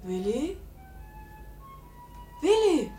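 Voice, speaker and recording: An actress playing a worried, devoted middle-aged wife in a 1950 radio drama, gently coaxing and soothing. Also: female voice, high-pitched, big pitch swings, drawn-out words, faint music behind, exaggerated voice. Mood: anxious. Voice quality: husky, breathy.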